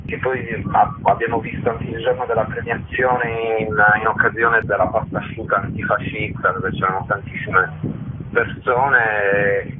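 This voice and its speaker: A man's voice: fairly low pitch, level tone. Man on a noisy, tinny phone line. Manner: halting, emphatic, repeats words for stress. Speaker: theatre-maker interviewed as guest. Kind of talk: spontaneous radio interview by phone.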